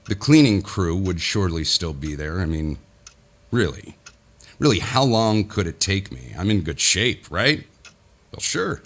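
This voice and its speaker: A man's voice: low voice, very animated, unhurried, a bit bright, heavily acted. An actor playing a weary, self-important businessman who confides his nightmare theatrically in a horror audio drama. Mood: regretful, uneasy. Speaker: lead actor narrating in character. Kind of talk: dramatic first-person audio horror narration.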